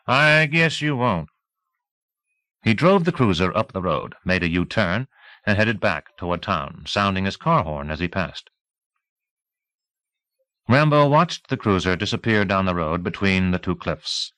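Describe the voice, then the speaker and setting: A man reading a novel as an audiobook narrator, in measured, clearly articulated scripted storytelling. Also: low-pitched, very animated, a bit bright, no echo.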